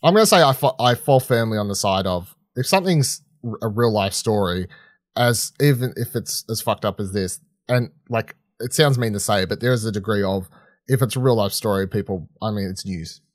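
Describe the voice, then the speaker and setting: A man co-hosting a podcast, chatting casually and swearing freely, with a halting, rambling way of talking that often restarts mid-sentence. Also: lowish voice, no echo, studio quality. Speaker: podcast co-host reviewing film and TV. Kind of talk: casual unscripted chat.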